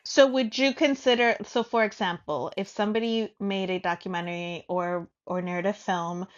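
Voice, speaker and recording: A woman talks as a podcast co-host in a loose, conversational debating style. Her pitch sits in the middle of the female range, and she is frank and informal, quick to challenge. The recording is a little dull and lo-fi. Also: fairly even intonation.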